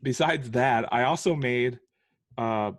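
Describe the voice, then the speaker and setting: A man's voice: medium pitch, slow, dry recording, studio quality. Chatty, jittery man co-hosting a podcast. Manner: rambling, chuckles between phrases. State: hopped up on coffee. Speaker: podcast co-host. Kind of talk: casual chat.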